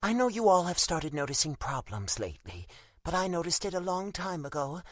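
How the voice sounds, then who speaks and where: Man voicing a soft higher-pitched middle-aged mom. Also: sing-song, a little fast, no echo, studio quality. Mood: grave, shaky. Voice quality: shaky, quivering. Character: loving, worried mother in her early fifties.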